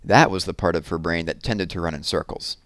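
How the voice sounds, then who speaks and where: A man with a fairly low voice reads a children's novel aloud. He tells it expressively, stretching key words for emphasis. The recording is dry, with very little room sound. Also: brisk, fairly bright tone, no room sound. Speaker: storyteller reading aloud.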